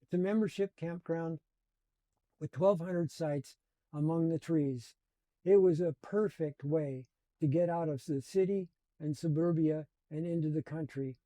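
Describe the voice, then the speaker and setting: A man in his seventies telling his life story in a slow, reflective and earnest way. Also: on the high side, dark tone, no echo. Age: 70s. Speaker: channel host. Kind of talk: personal storytelling.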